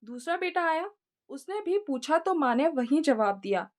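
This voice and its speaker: A woman's voice: high voice, sing-song, moderate pace. A woman storyteller narrating a scripted moral tale.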